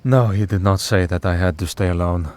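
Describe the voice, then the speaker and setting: A man playing a weary, devout and gentle vampire hunter priest in an ASMR roleplay, speaking in a measured, solemn way with pauses. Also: low voice, lightly acted. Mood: solemn, reflective. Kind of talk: ASMR roleplay audio drama.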